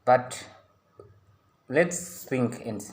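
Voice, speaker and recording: A man's voice: medium pitch, animated, very slow. Earnest young man pleading. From Namibia. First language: a San language. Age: young adult. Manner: earnest, pleading, repeats himself and restarts. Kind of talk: impassioned public appeal.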